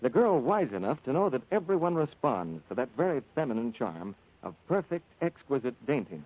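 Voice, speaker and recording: A man announcing on 1940s radio in a polished, scripted sales style, as host and soap pitchman. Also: big pitch swings, a little fast, a little mellow, rough recording.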